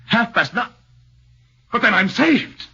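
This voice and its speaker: A man's voice: very high-pitched, lively intonation, takes it slowly, vocal fry, bright, forceful tone, breathy edge, full character voice. A man voicing an anxious young accused husband who speaks nervously and earnestly, stammering, in a 1940s radio drama on a muffled old broadcast.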